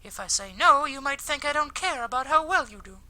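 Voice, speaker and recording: A man voicing a kind, earnest high school girl, politely apologetic and gently teasing, in a visual novel. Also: expressive, a little fast, full character voice. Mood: earnest, encouraging. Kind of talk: visual novel character read aloud.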